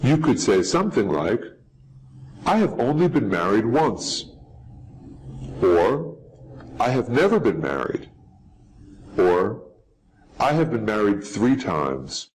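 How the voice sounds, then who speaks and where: A man reading model answers slowly and carefully in a scripted lesson. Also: lowish voice, big pitch swings, a little mellow, slight background noise, low-quality recording. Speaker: English teacher.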